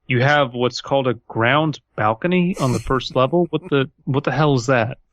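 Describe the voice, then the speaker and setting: A man's voice: mid-range voice, moderate pace, fairly bright tone, no echo. A man co-hosting a podcast, emphatic and joking with some swearing, bantering casually and reading aloud. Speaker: podcast co-host. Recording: dry but slightly dull, lo-fi audio.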